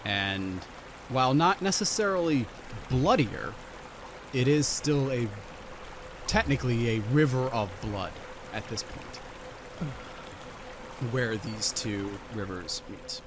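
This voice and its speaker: Halting, deliberate man narrating a tabletop game. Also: medium pitch, lively intonation, background noise. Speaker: game master. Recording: music behind the voice. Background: background music.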